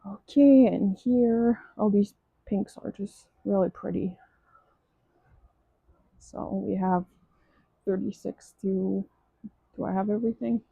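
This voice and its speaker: A woman's voice: mid-range voice, fairly even intonation, slow, creaky, pauses often, slightly rough, no echo, narrow, tinny audio. A woman with a French accent, French her first language, chatting casually through a craft kit unboxing, gushing and restarting often. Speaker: crafting vlogger.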